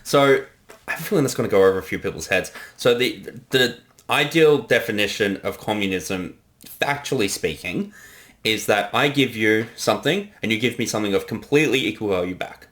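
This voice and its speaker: Man chatting with lively, lowish voice. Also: a little fast. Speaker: creator giving director's commentary. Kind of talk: casual film commentary chat.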